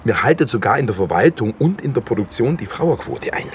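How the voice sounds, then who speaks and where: An actor playing an evasive, officious slaughterhouse manager in a naturalistic radio drama, talking in formal, defensive corporate phrases. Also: male voice, mid-range voice, lively intonation, fairly quick, slight background noise, lightly acted. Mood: confiding, uneasy.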